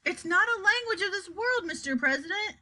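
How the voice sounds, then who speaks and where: A nervous male official from a comic storybook, acted by a woman, deferential and a little shaky. He insists politely in a bright, swooping voice. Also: extremely high pitch, lively intonation, brisk, pitched above the performer's own voice, full character voice. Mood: nervous, insistent. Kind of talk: comic children's storybook character voice.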